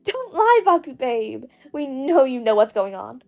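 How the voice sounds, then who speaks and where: A woman's voice: high-pitched, very animated, a little slow, vocal fry, darkish tone, soft background music, exaggerated voice. A woman voicing a nosy, teasing teenage girl gossip in an audio story. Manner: teasing, coaxing.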